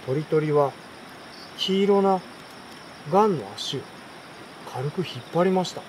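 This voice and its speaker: A man with a fairly high voice reads a story aloud in a relaxed style. He pauses between phrases. Faint night insects and campfire noise sit behind him outdoors. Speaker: reader. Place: campsite outdoors by a fire and stream. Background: insects, crackling fire, stream.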